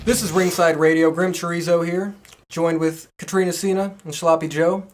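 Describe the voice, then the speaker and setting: A woman's voice: on the low side, steady tone, normal speed, a little mellow, soft background music. A woman hosting a podcast, casually chatting and asking questions of a co-host. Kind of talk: casual chat, interviewing a co-host.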